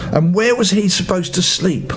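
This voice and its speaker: An older man reading a bedtime story to children, playful and expressive, speaking to his young listeners. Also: high-pitched, moderate pace, slight background noise.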